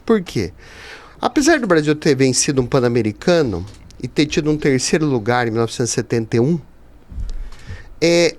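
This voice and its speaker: A Brazilian man in his fifties answering conversationally as a podcast guest, explaining things emphatically with rhetorical asides. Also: on the high side. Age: 50s. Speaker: podcast guest, teacher and writer.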